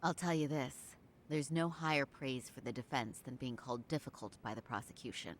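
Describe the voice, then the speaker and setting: A woman's voice: a bass voice, brisk, a little hiss or hum. An actress voicing a cool, composed female defense attorney in a video game, speaking firmly. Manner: firm, composed.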